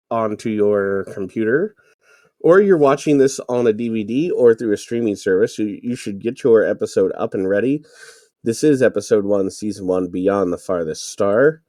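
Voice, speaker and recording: A man's voice: on the low side, normal speed, dark tone, no room sound, clean studio sound. A man co-hosting a podcast, explaining things informally in casual chat. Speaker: podcast co-host.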